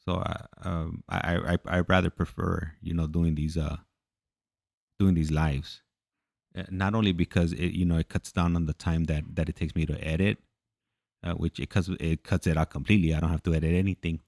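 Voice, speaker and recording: A man chatting casually as he hosts a livestream, halting, repeating himself and losing his thread. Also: deep voice, fairly even intonation, vocal fry, darkish tone, dry recording, clean studio sound. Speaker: livestream host. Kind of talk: casual rambling livestream chat.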